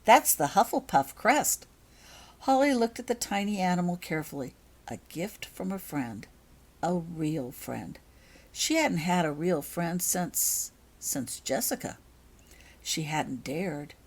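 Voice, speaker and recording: A woman with a fairly low voice narrates a story. She is an author reading scripted prose in audiobook style. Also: sing-song, measured pace, a little mellow.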